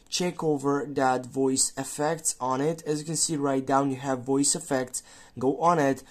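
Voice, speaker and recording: Tutorial host, medium pitch, walks through steps in halting, non-native English phrasing. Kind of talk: step-by-step tutorial narration.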